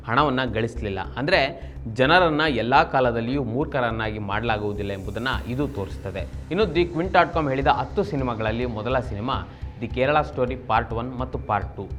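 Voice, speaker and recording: A man's voice: mid-range voice, a little fast, a bit bright, soft background music. A man from Karnataka presenting a scripted political news explainer, formal and expository, addressing viewers directly. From Karnataka, India.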